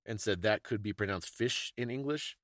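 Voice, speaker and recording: A man's voice: fairly low pitch, normal speed, fairly bright tone, no echo, studio quality. A man hosting a conversational talk, explaining things in an informative, scholarly way. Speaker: podcast host explaining folklore.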